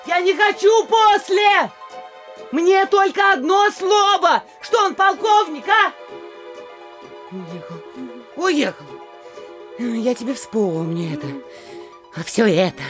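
A fretful, domineering mayor's wife of middle age in a classic stage-style audio comedy. Her voice is high and she stretches her words as she scolds and demands. Faint music sits behind her. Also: expressive, slow, pauses often, fairly bright tone, heavily acted. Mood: indignant. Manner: scolding, insistent, exclamatory.

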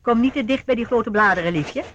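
An actress playing an unflappable older aunt in a radio play, chatty and brisk, reassuring the young visitors she shows around. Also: on the high side, a little hiss or hum, lightly acted. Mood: calm, reassuring. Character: aunt showing young visitors round her old estate, unflappable.

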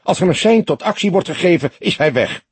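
A hot-headed young police detective played by a male reader for an audiobook. He argues with stubborn, indignant emphasis in a raised, swooping pitch, with a very husky, breathy edge. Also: animated, a little fast, no room sound, exaggerated voice. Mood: furious. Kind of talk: audiobook character voice.

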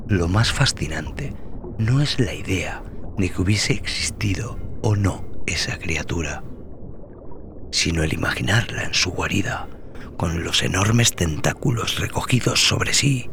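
A man narrating dramatic scripted horror as a podcast host, brooding, theatrical and deliberate in his delivery. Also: low-pitched, lively intonation, gravelly, noisy background. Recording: loud music under the voice.

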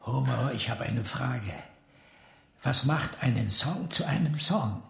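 An actor playing a haughty, sardonic, quick-tempered eccentric in a radio drama, articulate and theatrical in his delivery. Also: medium pitch, expressive, gravelly, a bit bright, exaggerated voice. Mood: pensive. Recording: echoey, reverberant room.

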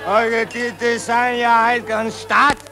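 An actor playing a pompous, tipsy Austrian general who stammers and repeats himself, with an Austrian accent, in satirical radio drama. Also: male voice, very high voice, completely flat delivery, unhurried, over music. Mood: tipsy, jovial.

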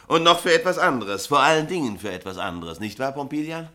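A middle-aged newspaper editor in a radio drama, brusque and sardonic. His voice is bright and forceful, bossing with irritable sarcasm. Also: medium pitch, big pitch swings, quick pace. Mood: sarcastic scolding. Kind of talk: German radio play acting.

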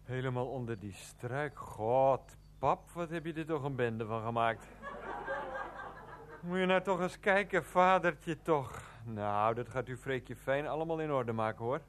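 A man's voice: mid-range voice, unhurried, lightly acted. An actor playing a rambling, defiant, grumbling man who likes a drink, muttering to himself in a radio play. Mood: plaintive, searching. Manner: muttering to himself, talks of himself in third person. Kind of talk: Dutch radio play acting.

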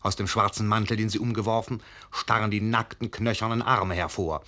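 An actor playing the narrator of a radio drama, addressing the listener in measured, literary, suspenseful storytelling. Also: male voice, fairly low pitch, fairly even intonation, pushed and bright.

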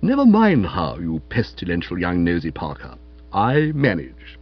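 A man's voice: deep voice, wildly swooping pitch, normal speed, highs cut off, voice pushed down, exaggerated voice. A man voicing a blustering, hearty older English aunt in an audiobook, with emphatic, scolding, slangy talk. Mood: brisk, evasive. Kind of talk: audiobook character voice.

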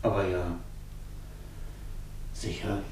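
A middle-aged novelist, tired and resigned, in a radio drama. His voice is lowish and he speaks with self-deprecating exasperation, pleading for understanding. Faint noise sits behind the recording. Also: flat delivery, slow, a little mellow. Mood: weary, resigned. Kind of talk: German radio drama acting.

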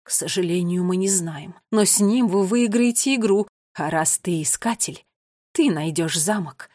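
A woman's voice: lowish voice, moderate pace, darkish tone, no room sound, studio quality, natural voice. Woman playing a commanding, grumpy fairy-tale queen. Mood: solemn. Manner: commanding, dramatic. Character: grumpy, imperious queen of a tiny storybook folk.

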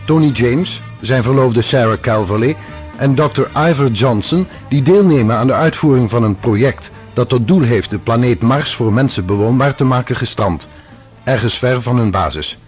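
A man narrating a scripted radio drama with a husky voice. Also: medium pitch, animated, moderate pace, a little mellow, soft background music.

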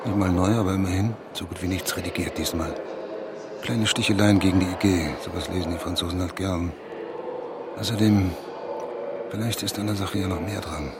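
A man's voice: low voice, steady tone, a little fast, close to an everyday voice. An actor in a radio play as a self-satisfied male journalist, muttering to himself and reading aloud. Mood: smug, musing. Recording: loud outdoor street and traffic noise.

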